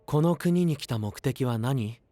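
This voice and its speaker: A man voices a friendly, shrewd young male knight for an anime voice drama. He speaks softly and politely, with lively rises and falls in pitch. Music plays clearly behind him. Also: unhurried, natural voice. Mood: probing. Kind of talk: Japanese anime voice drama acting.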